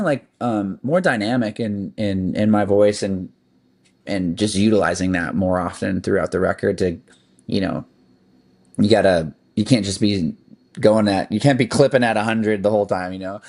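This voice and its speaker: A man, a band's singer, chatting casually as a podcast guest, rambling along with lots of "like" and "um". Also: on the low side. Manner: relaxed and rambling, with frequent "like" and "um". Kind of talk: casual interview chat.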